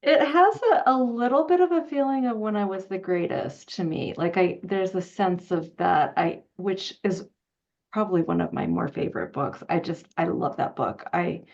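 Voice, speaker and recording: A woman's voice: fairly low pitch, moderate pace, gravelly, darkish tone. A woman interviewing someone in casual conversation, recorded with a dull, lo-fi microphone sound.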